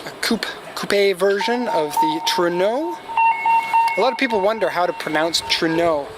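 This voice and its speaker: A man's voice: high voice, lively intonation, normal speed, loud music behind the voice, rough recording. A man giving casual, off-the-cuff vlog commentary as a host, rambling along in an informative, opinionated way. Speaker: host of car auction walkaround video. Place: outdoors at a car auction lot. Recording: loud outdoor noise with vehicle sounds.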